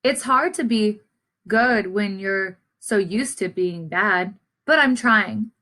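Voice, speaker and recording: A woman reading as a storybook seed, a sullen male character trying to reform, in a deliberate, confessional way. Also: medium pitch, sharp tone. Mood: hopeful, earnest.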